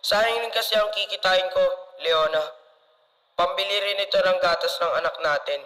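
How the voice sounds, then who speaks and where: A romantic, hardworking young Filipino husband with a fairly high male voice, played by a teenage boy. He speaks in the manner of a student radio drama. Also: takes it slowly, pauses often, sharp tone, exaggerated voice, poor audio. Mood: sick, weary.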